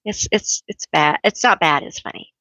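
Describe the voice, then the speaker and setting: A woman hosting a casual tarot live stream, chatty and teasing herself, laughing often and calling herself a loud yeller. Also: low-pitched, moderate pace, dry recording.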